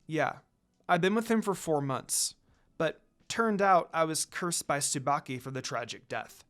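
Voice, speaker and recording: A man's voice: fairly high pitch, measured pace, fairly bright tone. A man voicing a heartbroken, earnest young priestess in a dramatic story reading. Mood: sad.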